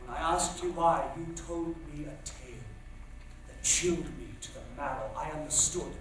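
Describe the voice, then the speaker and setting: An actor playing an exuberant, bossy young theatre coach, speaking in a florid, declamatory, formal stage manner. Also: male voice, fairly high pitch, normal speed, lightly acted. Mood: grave. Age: young adult. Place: theatre stage in live production. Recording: echoey theatre stage, distant mic, faint hiss.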